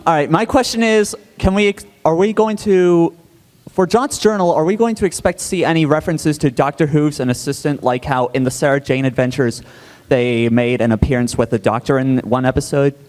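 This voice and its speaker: A man asking a somewhat halting fan question from the audience. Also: on the high side, darkish tone. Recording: echoey hall.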